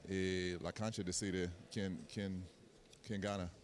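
A foreign basketball player whose first language is English, answering in simple, halting Spanish into a handheld mic in an arena. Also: male voice, sits low, little pitch movement, a little hiss or hum. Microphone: handheld mic held by interviewer.

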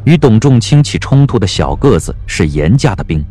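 A man narrating an audio drama in an expressive storytelling manner. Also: on the low side, normal speed, soft background music.

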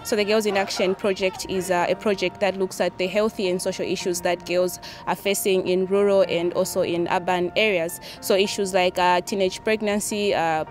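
A young woman from Zambia explains earnestly, pausing between points. Soft music sits underneath. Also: on the low side. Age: young adult. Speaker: project coordinator. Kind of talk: interview.

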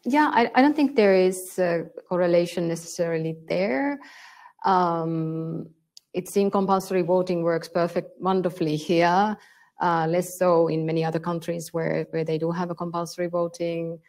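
A woman with a Finnish accent speaks on a conference stage, working through her answer slowly with many fillers. Her voice sits a little low and has a gravelly fry to it. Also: a little mellow. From Finland. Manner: thoughtful, searching for words with many fillers. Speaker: panelist on elections. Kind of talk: panel discussion answer. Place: conference room with a panel on stage.

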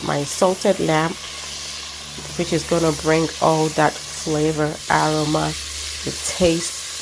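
A middle-aged Ghanaian woman hosts a cooking video outside on her patio, talking the viewer through each step. Her voice sits low and carries a gravelly edge. Food sizzles in the pan beside her. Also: slight background noise, low-quality recording. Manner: warm, chatty, explains each step. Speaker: cooking channel host. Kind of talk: cooking demonstration. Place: outdoor backyard patio at a grill. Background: sizzling pan, birds.

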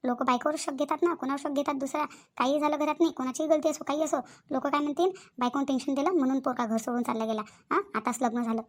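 A woman's voice: high voice, fairly even intonation, fast, close to an everyday voice. A woman voicing anxious village women in a cartoon family drama, speaking colloquial Vidarbha Marathi in a fretful, emphatic, repetitive way. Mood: distressed.

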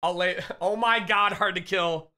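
Loud, profane man in his thirties greeting his chat on a headset, bright and high-voiced, voice going hoarse. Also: a little slow. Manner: loud, excitable, profane shout-outs to chat. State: losing his voice, getting sick. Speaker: streamer. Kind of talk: casual live-stream chat. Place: home streaming room.

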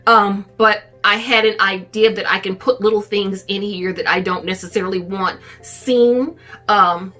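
A woman's voice: medium pitch, pushed and bright, husky. A middle-aged woman chatting casually to camera as a vlogger, recorded indoors in a room at home. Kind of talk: casual shopping haul chat to camera.

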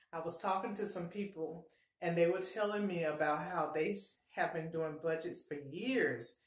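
A middle-aged woman giving upbeat, encouraging budgeting advice to camera in a casual home vlog, often repeating her key points. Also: on the low side. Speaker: personal finance vlogger. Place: small home bathroom, indoors. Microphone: fixed camera at close range. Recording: echoey small bathroom.

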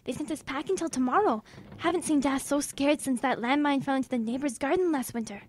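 A woman's voice: high voice, fairly quick, slight background noise, lightly acted. A woman playing a plucky, eager wartime boy in an audio drama, speaking. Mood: breathless reporting.

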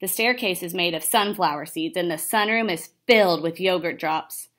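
A woman's voice: on the low side, moderate pace, a bit bright, lightly acted. A young woman voicing a sly, scornful female house cat in a storybook, goading and dismissive.

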